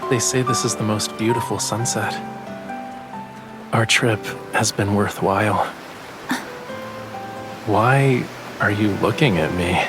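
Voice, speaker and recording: A teasing young male love interest from a romance game. His voice is low and soft, intimate and affectionate, over faint music. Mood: tender, intimate. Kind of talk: scripted romance game voice acting.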